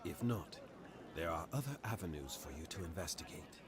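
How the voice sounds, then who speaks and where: An actor playing a grave, formal man who gives out quests in a fantasy game, with measured, old-fashioned phrasing. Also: deep voice, animated. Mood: measured, advising. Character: grave, formal man directing a hunt for cultists. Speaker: quest-giving game character. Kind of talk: scripted fantasy game dialogue.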